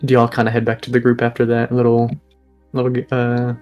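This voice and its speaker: A man's voice: medium pitch, monotone, brisk, darkish tone, dull audio, soft background music. A man narrating and running a tabletop game as game master, talking casually with lots of fillers.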